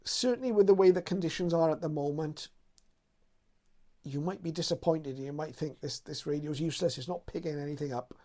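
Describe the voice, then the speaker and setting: A man's voice: on the high side, a little fast, darkish tone. A man hosting a radio how-to video, talking in a casual, unscripted and explanatory way.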